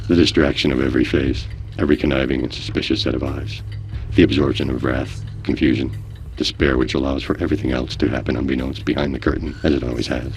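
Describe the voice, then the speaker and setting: A man's voice: rumbling low, fairly even intonation, fast, soft background music. A man voicing a cold, humorless, menacing talking machine in a radio drama. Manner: humorless, imperious, formal. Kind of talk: satirical radio drama acting.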